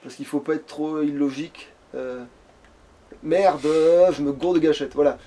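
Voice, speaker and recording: A man hosting a let's play, chatting casually in slang and swearing as he thinks out loud. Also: fairly high pitch, fairly even intonation, measured pace. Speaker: let's play host playing the game. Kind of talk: casual gaming chat, improvised.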